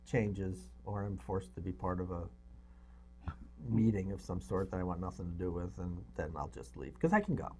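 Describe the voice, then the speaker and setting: A man's voice: sits low, expressive, moderate pace, warm tone, a little hiss or hum. A middle-aged man co-hosting a talk show with casual banter. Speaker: co-host.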